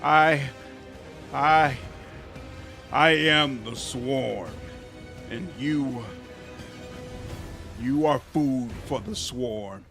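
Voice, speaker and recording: A man voicing a power-hungry commander turning monstrous in a fantasy game, halting and menacing, with music behind. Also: mid-range voice.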